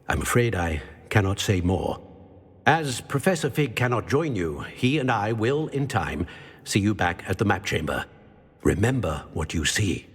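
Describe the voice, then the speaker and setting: A grave old wizard, husky, low, lively pitch, long reverb, game music. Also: male voice, heavily acted. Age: older. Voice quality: husky, measured and formal. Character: solemn elderly male wizard portrait. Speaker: portrait of an old wizard keeper.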